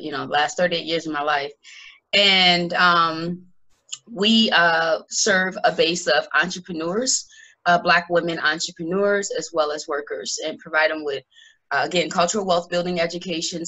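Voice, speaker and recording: A woman's voice: on the low side, bright, forceful tone, low-quality recording. A woman from Illinois, founder of a nonprofit, speaking on a webinar panel, loose and chatty with many fillers. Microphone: video call.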